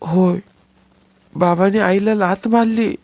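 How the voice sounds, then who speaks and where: A man's voice: high voice, steady tone, slow pace, a little mellow, no echo, voice pushed up. A man voicing a small tearful boy who lisps, his r sounds coming out as l, in a storybook reading. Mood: sobbing. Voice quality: childish lisp, r turned to l.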